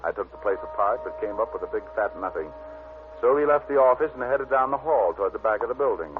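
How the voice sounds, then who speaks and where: Low-voiced wisecracking radio detective, played by a man. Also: animated, quick pace, soft background music, close to an everyday voice. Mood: dry narrating. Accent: American. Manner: dry, sardonic, wisecracking. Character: wisecracking, confident male private detective, narrating his case. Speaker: lead actor and narrator. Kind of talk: old-time radio detective drama acting. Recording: muffled, noisy old radio broadcast.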